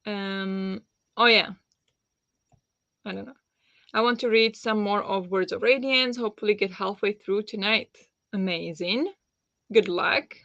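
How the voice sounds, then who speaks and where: A woman's voice: mid-range voice, unhurried, vocal fry, long pauses, no room sound, lo-fi sound. Woman chatting on a book stream. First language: Slovak. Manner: chatty, warm replies to viewers with frequent fillers and laughs. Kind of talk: live-stream chat reading out comments.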